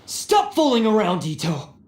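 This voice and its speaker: A man's voice: high-pitched, huge pitch swings, normal speed, gravelly, darkish tone, exaggerated voice. A proud, brooding oni man from a video game speaks with earnest intensity. He sounds like someone set on sacrifice. His voice rings in an echoing space over faint music. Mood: bitter resolve.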